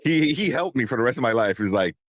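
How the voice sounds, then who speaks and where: A man with a very deep voice co-hosting a casual livestream show, laid-back and chuckling, rambling with fillers. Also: lively intonation, fast, no echo, clean studio sound. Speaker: co-host.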